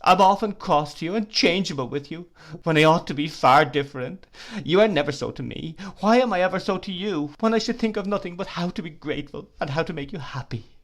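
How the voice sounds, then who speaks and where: A man's voice: medium pitch, expressive, moderate pace, natural voice. A man reading aloud, voicing a tearful, remorseful young woman who sobs and pleads. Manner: sobbing, pleading.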